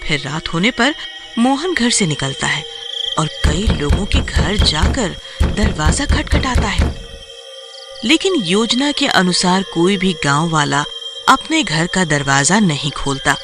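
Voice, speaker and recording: A man's voice: sits high, lively intonation, slow. A man narrating a scripted children's horror story as the storyteller. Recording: music clearly behind the voice.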